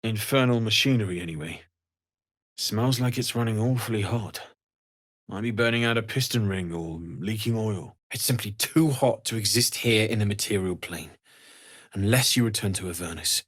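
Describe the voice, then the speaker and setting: A man voicing a kindly, earnest, world-weary blacksmith in a video game, speaking softly and sincerely. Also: on the low side, moderate pace, husky. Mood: grave, concerned. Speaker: video game character, smith and merchant.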